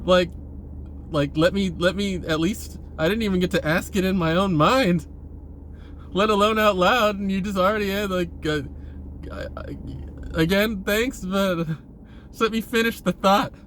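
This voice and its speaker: A man giving a casual, rambling monologue to viewers, halting and repeating himself, with informal, sweary phrasing. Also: high voice, fairly bright tone, slight background noise. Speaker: vlogger.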